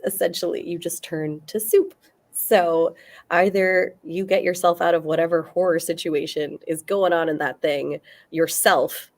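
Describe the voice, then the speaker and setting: A woman's voice: lowish voice, creaky, a little mellow. A woman arguing her point emphatically in a casual debate, as a guest on a talk show.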